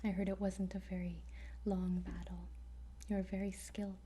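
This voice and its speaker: A woman whispering an ASMR roleplay as a dragon trainer, gentle and hesitant with frequent pauses. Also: mid-range voice, level tone, slight background noise. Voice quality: breathy whisper.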